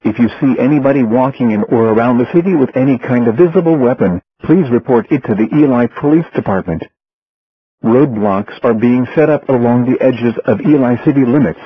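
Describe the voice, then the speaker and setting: A man with a synthetic-sounding voice reading a formal emergency broadcast announcement in a flat delivery. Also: mid-range voice, a little fast, breathy edge, no room sound. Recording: muffled, phone-like emergency radio transmission.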